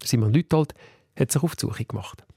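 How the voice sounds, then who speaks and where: A man presenting a radio show, reading traffic news and listener questions in a brisk announcer manner. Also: medium pitch, mellow, breathy edge, no echo, studio quality. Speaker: radio host.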